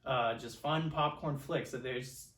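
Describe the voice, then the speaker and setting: A man's voice: medium pitch, a little slow, a bit bright. A man, casually discussing films as a podcast co-host in an enthusiastic, earnest way, recorded in a roomy space away from the microphone. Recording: roomy echo, distant from microphone.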